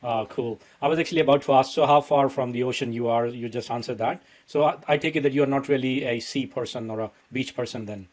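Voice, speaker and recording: Man, podcast host, friendly and conversational, mid-pitched. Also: quick pace, hardly any pauses, darkish tone. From India. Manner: friendly, chatty questioner. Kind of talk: casual podcast interview.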